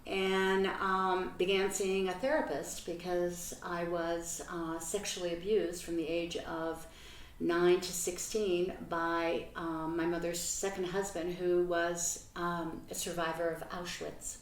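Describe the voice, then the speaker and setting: An older woman from Belgium telling her life story as an interview guest at home, earnest and reflective, with pauses and fillers. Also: on the low side, little pitch movement, a little hiss or hum. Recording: roomy sound from a distant mic.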